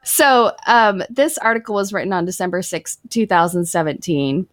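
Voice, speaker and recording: A woman's voice: mid-range voice, expressive, normal speed, dry recording. A woman co-hosting a casual podcast, reading article passages aloud and joking about her own pronunciation. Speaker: podcast co-host.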